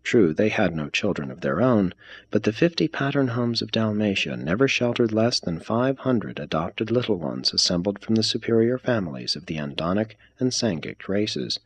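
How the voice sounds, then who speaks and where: A man reading a religious text aloud as scripted narration, in a measured, formal manner. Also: fairly low pitch, sing-song. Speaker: reader.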